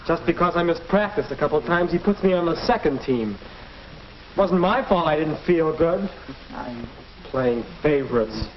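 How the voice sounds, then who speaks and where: Young guy doing defensive moody teen boy, highish voice, old classroom film, muffled n noisy. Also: animated, normal speed, close to an everyday voice. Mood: sulky. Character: sulky, defensive teenage boy on a school team. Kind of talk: mid-century educational film acting.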